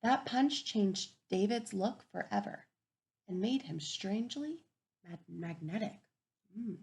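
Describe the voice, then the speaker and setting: A woman teacher reading a picture book aloud to young children, gentle and encouraging, often dropping to a soft whisper. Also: mid-range voice, animated, measured pace, creaky. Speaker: kindergarten teacher. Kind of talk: read-aloud storybook lesson for young children.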